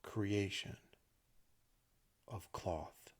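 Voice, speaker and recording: A man leading a guided meditation, speaking gently in short phrases with long pauses between them. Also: on the low side. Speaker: meditation teacher.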